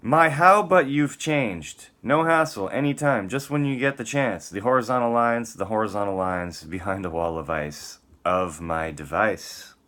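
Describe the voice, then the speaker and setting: A man's voice: on the low side, a little slow, hardly any pauses, a bit bright. A man giving a dramatic, theatrical spoken-word reading, moving between emphatic lines and muttered casual asides.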